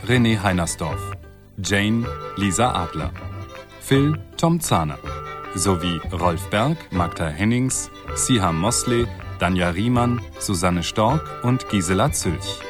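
A man's voice: sits low, lively intonation, takes it slowly, over music. A man reading the credits of a radio play as a scripted broadcast announcement.

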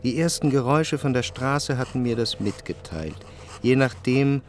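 An actor playing a reflective, melancholic man narrating his memories in a literary radio drama, measured and introspective. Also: medium pitch, slight background noise, lightly acted. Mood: dreamy, reflective. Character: reflective, melancholic male narrator recalling his past life.

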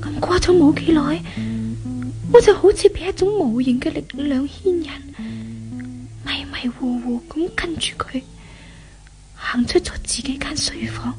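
A woman's voice: high-pitched, a little mellow. A woman with a Hong Kong Cantonese accent playing a timid, repentant young woman, earnest and theatrical in a 1970s radio drama. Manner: earnest, wondering, theatrical phrasing.

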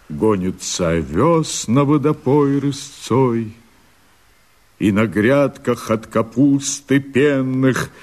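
A man acting a brooding, resolute rebel wanderer, reciting dramatic verse slowly and declaiming it with a husky voice in a radio play. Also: mid-range voice, darkish tone.

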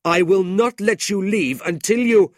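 Man playing an indignant young gentleman, raised pitch. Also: very animated, measured pace, husky, dry recording, clean studio sound. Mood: furious. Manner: earnest, emphatic, challenging. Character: indignant, honourable young gentleman defending his sister. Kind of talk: audiobook character voice.

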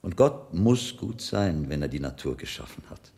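An actor playing a shrewd, learned friar in a radio drama, speaking in a measured, thoughtful and wry way. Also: male voice, low voice, big pitch swings, vocal fry, a little mellow, full character voice. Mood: reflective, warm.

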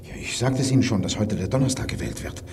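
A raspy, scornful anarchist poet in a radio play. His voice swings widely between sneering lows and fervent highs. The delivery is theatrical and intense. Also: expressive, fast, darkish tone, exaggerated voice. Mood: urgent. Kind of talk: radio drama acting.

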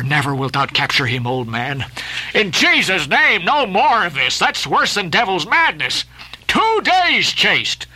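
Guy doing scared god-fearing first mate, begging, high and tense. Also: pushed and bright, full character voice, poor audio. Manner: pleading, anxious outcries. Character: pious first mate, pleading and fearful.

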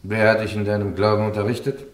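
An actor playing a stern, formal bishop who presides over a trial in a solemn, measured, ceremonious way in a radio play. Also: male voice, on the low side, little pitch movement, natural voice. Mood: quietly admonishing. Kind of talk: German radio play acting. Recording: echoey courtroom-like hall, radio drama.

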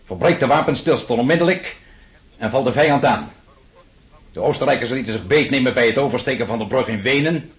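An actor playing an imperious, displeased emperor dictating a curt, commanding letter in a radio drama. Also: male voice, medium pitch, fairly quick, natural voice. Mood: stern displeasure.

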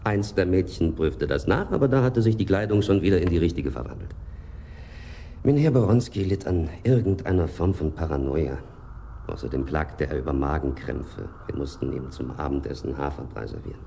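An actor playing a polite, precise and exasperated hotel manager in a German radio crime drama, courteous yet disdainful. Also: male voice, a bass voice, expressive, normal speed, gravelly, dark tone, slight background noise, full character voice. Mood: indignant, dismissive.

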